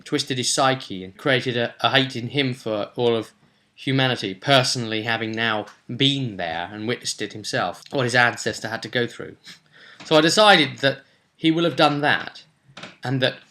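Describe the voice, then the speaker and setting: A man's voice: mid-range voice, bright, forceful tone. A man talking casually as a game master recapping a role-play session, conversational, often putting questions to the listener. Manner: conversational, rhetorical questions and tag questions.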